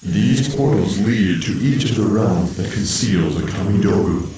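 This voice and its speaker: A solemn male spirit guide from a video game, low and drenched in cavernous echo. The delivery is grave, formal and commanding, as if from far off, with loud music behind. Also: animated, a little slow. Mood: solemn, ominous. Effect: heavy echo on the voice. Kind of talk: video game cutscene voice acting. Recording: echoing, distant voice with music behind.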